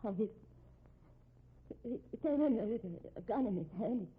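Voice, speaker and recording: Anxious young-sounding wife, halting and stammering, high and breathy, on a phone-thin old radio recording. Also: female voice, animated. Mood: shaken, halting. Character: shaken married woman, injured, hesitant and anxious. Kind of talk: 1950s radio drama acting. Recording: tinny 1950s radio recording.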